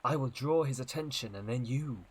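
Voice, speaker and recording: A man's voice: medium pitch, normal speed, breathy edge, close to an everyday voice. A man voicing a brave, caring female sea captain in an adventure story. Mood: resolute, concerned.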